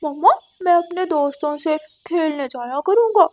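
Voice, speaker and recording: A woman voicing an excited, sweet little boy bird in a cartoon. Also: high voice, moderate pace, no echo, voice pushed up. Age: child figure.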